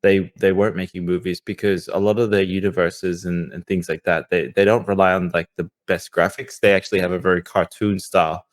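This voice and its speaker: A man's voice: sits low, flat delivery, brisk, few pauses. A man co-hosting a podcast, chatting casually. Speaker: podcast co-host.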